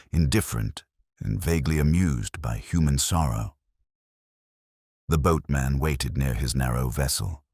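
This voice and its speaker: A man reading a scripted first-person detective story in a measured, brooding, literary manner. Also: a bass voice, darkish tone, slightly rough, no room sound, clean studio sound. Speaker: narrator.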